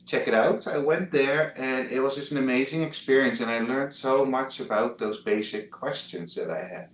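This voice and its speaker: A man's voice: medium pitch, moderate pace, echoey room. A man from the Netherlands with a Dutch accent, a guest chatting over a video call, hesitant with many fillers and restarts. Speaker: guest, travel blogger.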